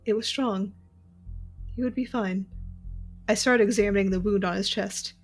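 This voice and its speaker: An actress voices a young warrior woman, shaken and angry, telling her story with dramatic tension. Her mid-pitched voice sits over soft music in a space-fantasy audio drama. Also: fairly even intonation, moderate pace, close to an everyday voice. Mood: tense narrating. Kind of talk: first-person dramatic audio drama narration.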